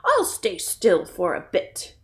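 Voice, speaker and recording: A woman voicing a big, proud, hungry male lion for a children's storybook reading, gruff and booming. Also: wildly swooping pitch, slow pace, a bit bright, heavily acted. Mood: scornful.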